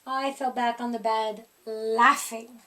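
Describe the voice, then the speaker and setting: A woman narrates a children's storybook in a high voice. She reads slowly and expressively, recorded slightly away from the microphone. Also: flat delivery, pushed and bright, distant mic, slight background noise. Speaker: storybook reader.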